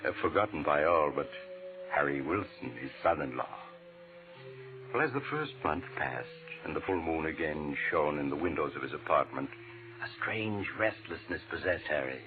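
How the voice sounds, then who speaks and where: An actor playing an ominous storyteller, narrating an old-time radio mystery in a measured, brooding, dramatic way. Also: male voice, a bass voice, animated, music in the background. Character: ominous male storyteller of eerie mystery tales. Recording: narrow-band vintage radio recording.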